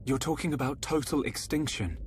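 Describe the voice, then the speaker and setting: A medium-pitched young hero's voice, played by a man in a fantasy video game. He is courteous, sincere and resolute. Noise can be heard underneath the voice. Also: brisk, lightly acted. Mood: earnest, concerned. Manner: polite, earnest. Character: earnest, resolute young male fantasy hero. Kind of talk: dramatic video game voice acting.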